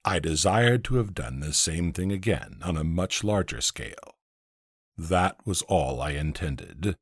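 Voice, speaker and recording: A man playing a solemn, defiant older condemned abolitionist, delivering a deliberate, emphatic courtroom speech in a husky voice. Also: low-pitched, lively intonation, no room sound, studio quality, heavily acted. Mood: solemn, restrained. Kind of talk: dramatic reading of a historical courtroom speech.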